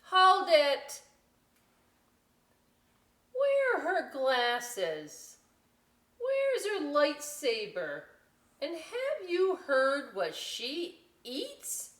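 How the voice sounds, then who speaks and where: A woman voicing a fussy, indignant nerdy bird in a picture-book read-aloud, emphatic and drawn-out. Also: sits high, huge pitch swings, a bit bright, pitched above the performer's own voice, heavily acted. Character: fussy, indignant nerdy birds rejecting a newcomer. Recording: roomy echo, distant microphone.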